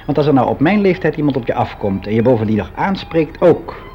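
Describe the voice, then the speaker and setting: A dull, lo-fi radio play recording with music behind it. An actor plays a man nearing sixty, frank and a little wistful, in a mid-pitched voice. He confides in a conversational, halting way, drawing out his phrases as he thinks. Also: very animated, natural voice. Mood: musing, confiding. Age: older, about sixty. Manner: musing, halting, candid, with drawn-out phrases. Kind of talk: confessional radio drama monologue, conversational and hesitant.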